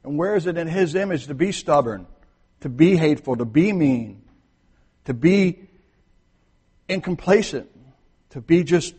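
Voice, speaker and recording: A man preaching a sermon to his congregation, talking casually, then soft, then emphatic. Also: a little high, slightly rough. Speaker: pastor. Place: church sanctuary. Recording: long hall reverb.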